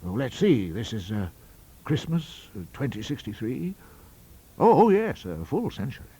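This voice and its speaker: A man performs a delicate, fussy college master from a ghost story. The voice sits high and moves freely up and down. He rambles hesitantly, with a complacent, donnish air. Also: sing-song, moderate pace, dark tone, slightly rough. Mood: confiding, hesitant.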